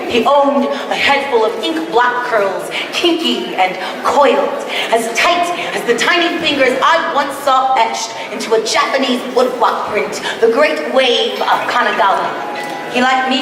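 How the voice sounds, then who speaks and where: A raspy, hoarse woman with a high voice performs spoken word poetry live, leaning on slow, weighty phrasing. Her voice reaches the mic from a distance and rings around a large hall. The audience can be heard clearly around her. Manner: slow, emphatic dramatic delivery with chatty asides to the crowd. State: hoarse, extra raspy today.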